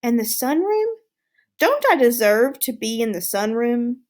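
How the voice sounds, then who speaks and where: A woman voicing a smug, excitable male pet hamster in a storybook read-aloud, boastful and exclamatory. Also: a little high, measured pace. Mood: agitated, indignant. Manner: boastful, self-satisfied, exclamatory.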